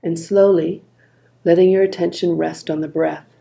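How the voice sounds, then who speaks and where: A woman leading a guided body scan meditation in a calm, gentle, unhurried manner. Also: on the low side, vocal fry.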